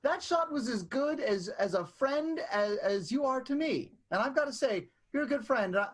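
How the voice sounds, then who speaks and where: A man acts out a gently encouraging friend in a radio soap. His voice is husky and very animated, rambling on with earnest reassurance. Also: very high voice, moderate pace. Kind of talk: improvised radio comedy acting.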